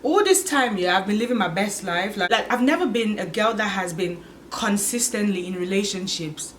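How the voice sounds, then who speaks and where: A woman in her twenties talking candidly to her channel, musing aloud and filling pauses with "like" and "you get it?". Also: medium pitch, animated, slight background noise. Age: 20s. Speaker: vlogger. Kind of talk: casual confessional vlog.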